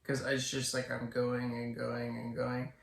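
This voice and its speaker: Hesitant young man, slow gravelly voice. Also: medium pitch, monotone, reverberant. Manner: hesitant, many restarts and fillers. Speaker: podcast co-host. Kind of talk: casual chat.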